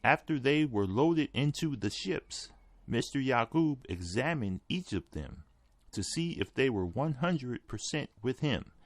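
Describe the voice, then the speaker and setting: A man with a noticeably creaky, gravelly voice narrates from a written text. His pitch sits in the middle range for a man, and the sound is dry and close, with no room around it. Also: no echo. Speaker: article reader. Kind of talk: scripted narration, reading an article aloud.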